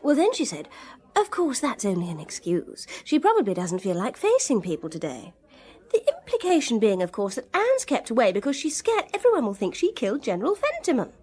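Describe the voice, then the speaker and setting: A woman's voice: on the high side, huge pitch swings, a little fast, darkish tone, husky, exaggerated voice. An actress playing a frank, indignant society woman with an English accent, candid and confiding, in a radio drama. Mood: disapproving, confiding.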